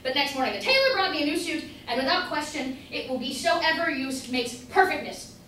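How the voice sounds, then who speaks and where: A woman's voice: high voice, lively intonation, a little fast, sharp tone. A young woman playing a jolly, carefree old husband who breaks into song in an amateur staged play reading. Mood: merry, carefree. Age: young adult. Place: indoor room at a college staged reading. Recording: echoey hall from far off, noisy.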